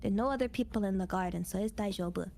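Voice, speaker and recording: A woman's voice: mid-range voice, rapid-fire, gravelly, a little mellow, slight background noise. A woman chatting casually as a streamer, telling stories in a cutesy, playful way with Japanese words sprinkled in. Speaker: VTuber streamer. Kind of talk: casual storytelling chat.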